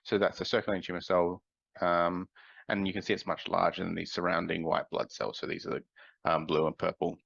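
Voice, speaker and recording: A man presenting a scientific conference talk, sounding nasal from a cold, explaining in a measured way with frequent ums. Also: sits low, flat delivery, creaky, a bit bright, breathy edge, no echo, highs cut off.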